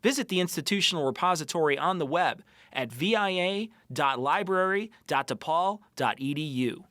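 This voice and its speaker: A man's voice: a little high, lively intonation, moderate pace, fairly bright tone, no echo. A man working as an announcer, reading a scripted promotional message.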